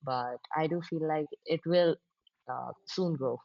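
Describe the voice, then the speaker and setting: Low-voiced woman guest, dry dull audio. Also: unhurried, vocal fry, no room sound. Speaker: interview guest, business founder. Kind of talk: conversational interview answers. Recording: slightly dull online-call audio.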